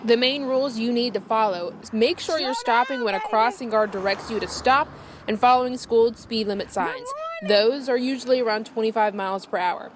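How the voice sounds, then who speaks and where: A woman's voice: on the high side, a little fast, background noise. A woman television reporter reading scripted narration for a news package.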